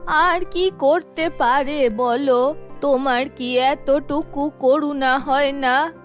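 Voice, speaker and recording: Devout elderly grandmother played by a woman, high-pitched, imploring, slow cartoon melodrama over soft music. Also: steady tone. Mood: anguished, pleading. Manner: lamenting, imploring. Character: anguished, devout old grandmother pleading for her grandson.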